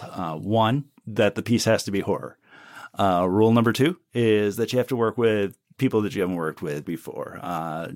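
A man's voice: deep voice, no echo, studio quality. A middle-aged man from the United States, an audio drama producer, talking casually in an interview with fillers and trailing asides.

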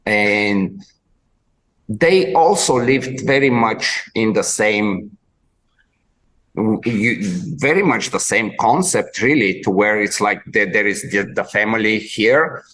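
A man with a Bulgarian accent hosting a podcast interview, his voice sitting a bit low. He talks slowly and haltingly, restarting phrases and searching for the right words as he goes. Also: a bit bright, rough recording. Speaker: podcast host, dog trainer. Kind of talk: conversational interview.

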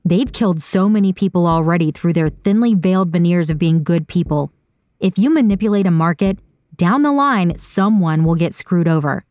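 A woman voicing a cynical, brooding, stubborn man in an audiobook, blunt and insistent in a low-key way. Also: normal speed, a little mellow, no room sound, lightly acted. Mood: resolute. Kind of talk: audiobook character dialogue.

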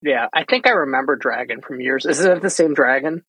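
A man's voice: medium pitch, fairly quick, fairly bright tone, slight background noise, rough recording. A man hosting an interview, asking questions in a casual style and thinking aloud as he works his way into each one. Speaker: podcast host, interviewer.

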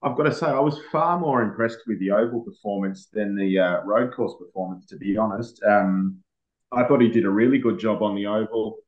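An Australian man speaking as a motorsport editor, relaxed and hesitant with plenty of pauses. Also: lowish voice, dull audio. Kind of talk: casual motorsport chat.

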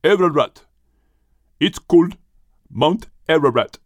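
A man's voice: on the high side, animated, takes it slowly, no echo, clean studio sound. A man voicing a pompous, arrogant male turkey who scorns others in an animal audiobook. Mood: haughty. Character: haughty, insulting male turkey, scornful and aloof.

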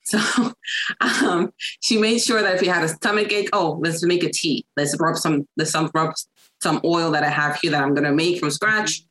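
A woman with a Puerto Rican accent, a chiropractor chatting as a podcast guest, laughing often and filling pauses with ums. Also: deep voice, fairly bright tone, rough recording. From Puerto Rico. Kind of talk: casual interview chat.

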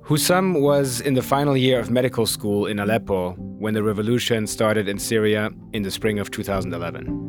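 A man hosting and narrating a documentary podcast in a measured, grave, explanatory way. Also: lowish voice, music in the background.

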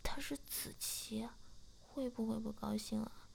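A woman's voice: on the high side, fairly even intonation, measured pace, vocal fry. A woman whispering as a sweet young wife. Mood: gentle. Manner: soft, whispered.

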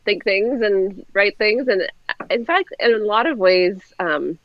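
A woman's voice: mid-range voice, unhurried. A woman guest talking through co-writing in a casual interview over a video call, thoughtful and hedging with fillers. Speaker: guest, co-author. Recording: dull dry video-call audio.

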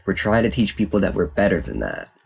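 A man playing a sly, sharp-tongued young male fox student in an audiobook. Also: low-pitched, big pitch swings, fast talker, vocal fry, slightly rough, exaggerated voice. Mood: suspicious, terse. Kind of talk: acted audiobook character dialogue.

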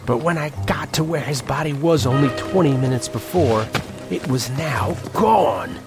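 Actor as grim frontier storyteller over music. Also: male voice, medium pitch, expressive, moderate pace, lightly acted. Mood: grim, measured. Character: grim haunted frontier man recounting an Old West horror. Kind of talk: dramatic first-person audio drama narration. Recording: dramatic music under the voice.

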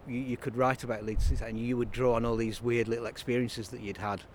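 A man's voice: mid-range voice, level tone, quick pace, darkish tone, a little hiss or hum. Reflective man in his fifties. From Burnley, Lancashire, England. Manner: rambling, reflective, frequent restarts. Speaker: interview guest, writer and songwriter. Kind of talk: casual interview conversation.

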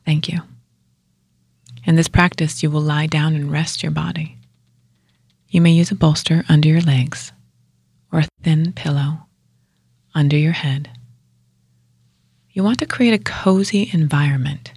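Slow, breathy, low-voiced woman guiding meditation. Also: steady tone, dry recording, studio quality. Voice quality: breathy, husky, often near a whisper. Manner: hushed, slow, measured, with pauses between short phrases. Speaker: guided meditation teacher and show host. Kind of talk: guided yoga nidra meditation.

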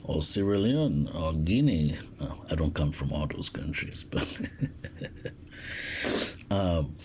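Deep, slow man. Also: expressive, dark tone, slight background noise. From West Africa. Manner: reflective, unhurried, with frequent pauses and restarts. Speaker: podcast host. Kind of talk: casual podcast monologue.

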